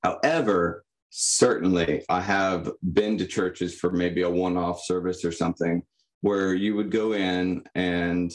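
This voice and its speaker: Young man, low voice, podcast guest, halting and thoughtful, reflective delivery. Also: fairly even intonation, low-quality recording. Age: young adult. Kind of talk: reflective personal interview answers. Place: indoor room, on a video call. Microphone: condenser microphone.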